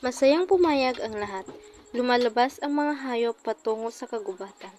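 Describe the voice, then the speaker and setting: A woman's voice: fairly high pitch, lively intonation, normal speed, a little mellow. A woman narrating a scripted children's puppet story, with faint noise behind.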